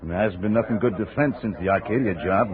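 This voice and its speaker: An actor playing a folksy, eager informant in a 1950 radio drama. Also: male voice, fairly low pitch, fast, a crowd in the background, lightly acted. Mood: curious. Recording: tinny old radio broadcast.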